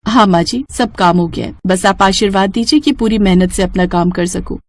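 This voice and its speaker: A woman's voice: mid-range voice, moderate pace, natural voice. Earnest, polite young wife voiced by a woman. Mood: grateful.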